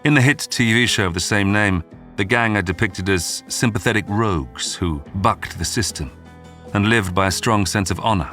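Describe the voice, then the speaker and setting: A man hosting and narrating a scripted history documentary in a measured, dramatic storytelling manner. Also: fairly low pitch, bright, forceful tone. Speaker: documentary podcast narrator and host. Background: background music.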